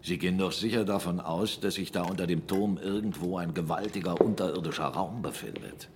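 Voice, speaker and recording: Low-voiced man acting, radio drama captain, gruff, commanding, bluff country landowner, very noisy background. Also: a little fast, lightly acted. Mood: probing, courteous.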